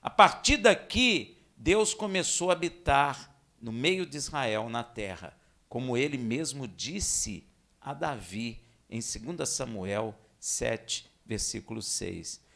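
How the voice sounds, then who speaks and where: A man from Minas Gerais with a Brazilian accent, preaching and teaching scripture in a conversational way, with rhetorical questions, dramatic emphasis and hushed asides. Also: mid-range voice, animated, slow, vocal fry. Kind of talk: expository sermon with scripture reading.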